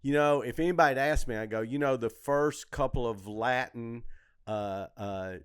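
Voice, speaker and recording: A man's voice: mid-range voice, moderate pace, clean tone, no echo, clean studio sound. An older man in a casual podcast conversation, hosting and telling stories in a rambling, repetitive way. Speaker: comedy podcast host and club owner.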